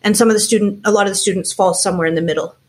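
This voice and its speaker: A woman from Canada in her forties, a teacher being interviewed, explaining things in a measured, frank way. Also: mid-range voice, fairly even intonation, husky. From Canada, small towns around Toronto. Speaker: guest, teacher and school founder. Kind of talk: casual interview chat.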